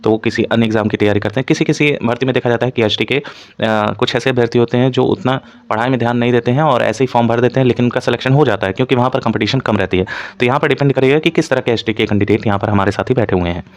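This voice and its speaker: Man, brisk delivery, mid-pitched voice, coaching lecture style, repetitive explanations, code-mixed English words. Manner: Hindi mixed with frequent English terms. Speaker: exam coaching instructor. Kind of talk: informal exam analysis lecture.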